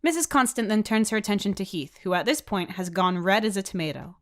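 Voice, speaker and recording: A woman's voice: medium pitch, animated, fast. A woman reading scripted story narration as the narrator. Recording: music behind the voice. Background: music.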